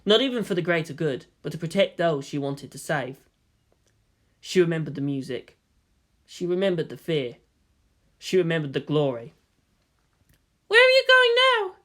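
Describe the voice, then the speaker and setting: A man's voice: fairly high pitch, normal speed, pauses often. A man reading fiction aloud as narrator, in a dramatic scripted style. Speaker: story narrator.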